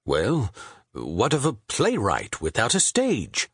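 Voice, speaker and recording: A man voicing a nervous London butcher with a Southwark accent, who answers in short, blunt words in a husky voice. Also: lowish voice, huge pitch swings, takes it slowly, a bit bright, dry recording, studio quality, exaggerated voice. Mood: unsure. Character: plain, nervous London butcher courting a wife.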